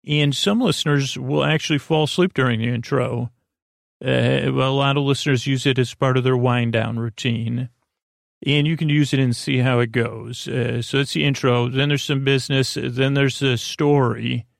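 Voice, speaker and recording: Low creaky guy doing a sleep podcast, soothing, rambles and trips over his words, close dry sound. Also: fairly even intonation, no echo. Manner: meandering, halting, stammering with false starts and tangents; soothing, lulling. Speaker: podcast host.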